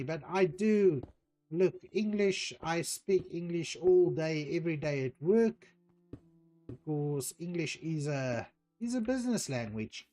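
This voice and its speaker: Slow-talking Afrikaans-accented man. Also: a little high, mellow. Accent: Afrikaans South African. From South Africa. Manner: unhurried, hesitant, with frequent ums while reading out chat. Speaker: streamer. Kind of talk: casual chat while playing a game.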